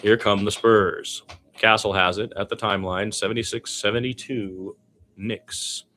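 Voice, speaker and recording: A man's voice: on the low side, steady tone, unhurried, bright, forceful tone. A man doing informal solo basketball play-by-play commentary, conversational and given to chatty asides.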